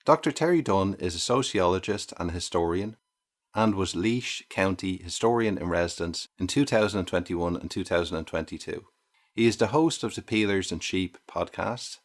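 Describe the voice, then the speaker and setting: Low-pitched man reading a podcast introduction. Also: normal speed. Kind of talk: scripted narration.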